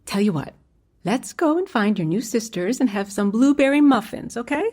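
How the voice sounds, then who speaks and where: An actress playing a falsely cheery, brisk stepmother in a radio drama. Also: fairly high pitch, lively intonation, darkish tone. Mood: coaxing, cheery.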